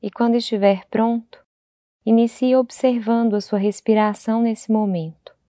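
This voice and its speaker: A Brazilian woman leading a guided meditation in a gentle, unhurried way, leaving long pauses between phrases. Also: on the high side, steady tone, no room sound, clean studio sound.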